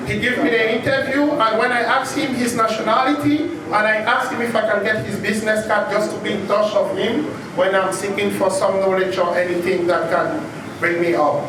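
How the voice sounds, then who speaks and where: A man from Cameroon speaks earnestly to a gathering, thanking people between long anecdotes. His voice sits high. It is picked up from a distance in a very reverberant, noisy room. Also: fairly even intonation, normal speed, crowd noise. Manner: earnest, grateful, rambling anecdotes. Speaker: safety professional giving a speech. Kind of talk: speech to a gathering. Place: large echoey event space. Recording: echoey far-off room recording, noisy.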